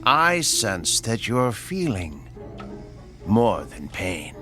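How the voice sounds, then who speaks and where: A man playing a sinister tormentor in a radio drama, menacingly polite, deliberate and taunting. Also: on the low side, very animated, pushed and bright, exaggerated voice. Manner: taunting, deliberate, drops into whispers. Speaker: actor. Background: music.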